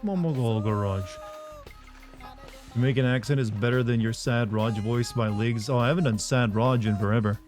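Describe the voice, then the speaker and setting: A man's voice: mid-range voice, moderate pace, darkish tone, soft background music. Rambling joking male game streamer. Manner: rambling and joking, lots of fillers like "like". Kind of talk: casual live gameplay commentary.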